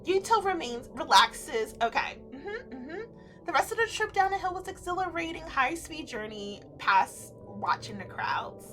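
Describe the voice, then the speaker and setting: Very high, bright, giddy woman streamer. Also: very animated, unhurried, faint music behind. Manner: giddy, giggly, gushing reactions breaking into the reading. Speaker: streamer playing and reading a visual novel aloud. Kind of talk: animated let's-play reading and commentary.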